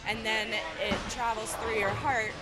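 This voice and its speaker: A woman's voice: fairly high pitch, fairly even intonation, measured pace, over loud music. A woman giving unscripted interview answers in everyday language. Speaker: interviewee, mother of a patient.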